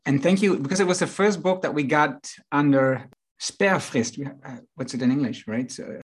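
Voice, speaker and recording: Dutch-accented man, host of a business interview podcast, husky voice, rambling and laughing easily. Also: a little high, moderate pace. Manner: chatty, rambling, many restarts and laughs. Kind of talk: casual interview chat.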